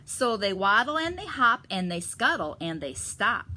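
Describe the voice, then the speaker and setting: A woman reading a picture book aloud to children in a teacherly way, pausing to explain and ask them questions. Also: mid-range voice, very animated, sharp tone, slight background noise. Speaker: story reader for children.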